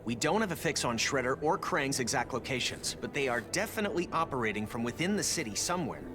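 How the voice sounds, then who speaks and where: A man's voice: medium pitch, expressive, fast, over music, lightly acted. A man voicing a brainy, analytical teenage turtle tech expert in a cartoon video game, explaining things precisely. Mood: analytical, urgent. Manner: precise, briefing-like.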